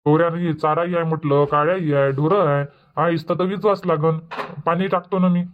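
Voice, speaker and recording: A grumbling old village husband, voiced by a man, complains and lectures in a dubbed cartoon comedy. He speaks with a Varhadi Marathi accent in a rather high voice. The recording sounds dull and lo-fi. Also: steady tone, fairly quick, a little hiss or hum, lightly acted. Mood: lecturing. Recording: dull lo-fi audio.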